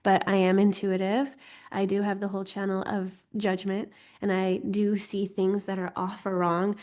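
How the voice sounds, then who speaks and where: A woman's voice: medium pitch, little pitch movement, moderate pace, a little mellow. A woman with a slightly congested voice, teaching an informal online class in a playful, chatty way with lighthearted asides. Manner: playful, giggly, self-deprecating asides. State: slightly congested from getting over a cold. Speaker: host teaching an online astrology course.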